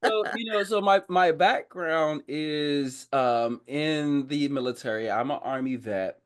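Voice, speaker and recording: Higher-voiced man telling his story casually. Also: slow. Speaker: podcast guest, army veteran. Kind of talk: casual conversational personal storytelling.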